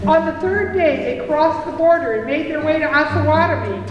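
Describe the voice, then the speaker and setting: High-pitched woman storyteller in echoey hall. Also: a little fast, lo-fi sound. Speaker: puppet show narrator and storyteller. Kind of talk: storytelling for children. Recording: echoey hall from far off, music.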